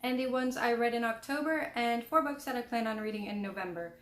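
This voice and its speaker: Young woman, casual rambling book vlogger, small soft voice, headphone mic, echoey home room. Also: fairly high pitch, fairly quick. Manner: chatty, rambling, self-deprecating. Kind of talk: casual book review chat.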